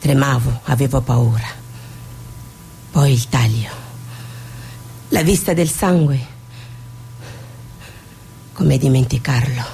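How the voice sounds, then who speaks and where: A woman's voice: sits low, creaky, warm tone, a little hiss or hum, full character voice. An actress playing a Somali woman scarred by suffering, defiant and mocking, in a stage drama. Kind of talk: stage monologue and dramatic dialogue.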